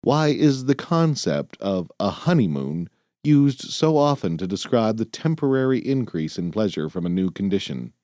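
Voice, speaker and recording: A man narrates a self-help style audiobook in a soft-edged, mellow voice. His pitch sits a little low but moves freely for emphasis. The phrasing is measured, earnest and clearly scripted. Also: sing-song, no room sound, clean studio sound.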